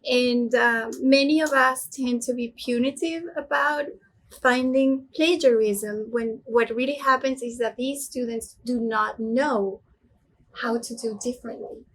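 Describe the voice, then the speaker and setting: A woman's voice: fairly high pitch, fairly even intonation, a little slow, fairly bright tone, rough recording. A woman from Venezuela hosting a webinar discussion over a video call and asking the guests questions. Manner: friendly moderator, lots of affirming mm-hmms. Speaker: webinar host.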